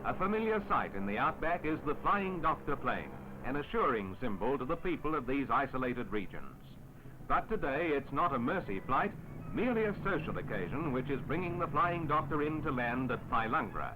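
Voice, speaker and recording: A man narrating a 1950s newsreel in a formal, measured announcer style on an old film soundtrack. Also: medium pitch, very animated, background noise. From Australia. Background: music.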